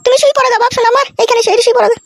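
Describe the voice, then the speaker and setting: A woman voicing a bitter, scheming village wife and mother, bossy and sharp-tongued, in a comic cartoon. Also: very high-pitched, fairly even intonation, racing, noisy background.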